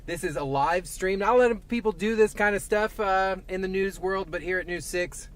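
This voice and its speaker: A man's voice: high-pitched, moderate pace. A man with a Southern twang, a traffic safety reporter chatting casually through a live stream from inside his truck, rambling with lots of fillers. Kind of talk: live-streamed casual commentary while driving. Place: inside a parked and moving truck. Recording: faint vehicle noise behind.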